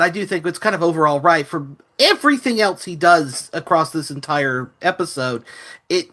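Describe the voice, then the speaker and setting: A man's voice: on the high side, a bit bright. A man talking casually as a panelist on a review podcast.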